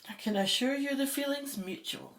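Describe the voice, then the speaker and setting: A woman's voice: sits high, normal speed, fairly bright tone. A woman playing a spirited, wry, plain-spoken Egyptologist in an audio drama. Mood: indignant.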